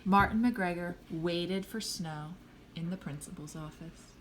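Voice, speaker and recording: A woman reading a children's storybook aloud expressively, pausing to speak to a young listener. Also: lowish voice, noisy background. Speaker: storybook reader.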